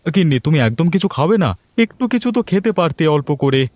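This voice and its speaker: A man's voice: fairly high pitch, expressive, quick pace, darkish tone, dry recording, lightly acted. A man playing an affectionate, coaxing husband in a cartoon moral story. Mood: caring. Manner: coaxing, appreciative. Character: affectionate husband coming home, placating his wife.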